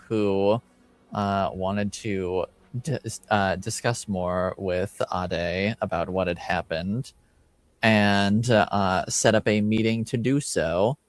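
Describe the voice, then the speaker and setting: A man acting as game master, giving a casual recap of a tabletop game in halting phrases with frequent pauses. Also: on the low side, no room sound. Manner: halting, pausing often between phrases.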